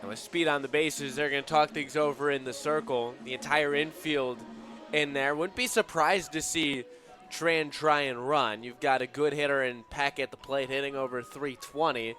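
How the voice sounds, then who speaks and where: Bright male sports color commentator. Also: medium pitch, steady tone, moderate pace.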